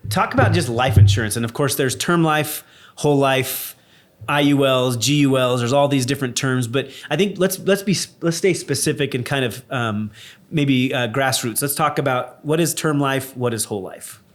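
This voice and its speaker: A man co-hosting an insurance chat, eager and upbeat, steering the conversation from topic to topic. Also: medium pitch. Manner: quick, enthusiastic, steers the topics. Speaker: insurance broker co-host.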